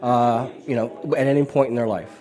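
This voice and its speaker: A man, hoarse from a summer cold, chatting in a friendly way as a guest in a casual radio interview. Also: mid-range voice, steady tone, fairly quick, dark tone, poor audio. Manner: friendly, chatty, laughs easily. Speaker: guest from a local historical society.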